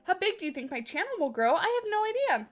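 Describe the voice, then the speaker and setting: A woman's voice: extremely high pitch, very animated, fast. A woman livestreaming a game from her home office, chatting casually and rambling a little, slightly stuffed up from a cold. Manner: chatty, rambling, self-deprecating. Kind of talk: casual chat with live chat.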